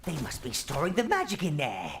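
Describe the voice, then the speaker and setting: Gleeful, fawning old evil minion, wildly animated. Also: male voice, fairly high pitch, fairly quick, a little hiss or hum. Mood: wry scorn. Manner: obsequious, sardonic, relishing his words. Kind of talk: theatrical video game character acting.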